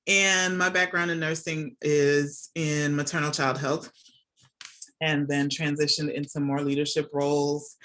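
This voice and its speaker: A woman's voice: low voice, a bit bright. Chatty middle-aged woman, casual interview guest. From born and raised in Jamaica, moved to the United States as a child. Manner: chatty, often checks in with "right?". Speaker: podcast guest, nurse and academic. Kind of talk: casual conversational interview answers. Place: remote video call from home.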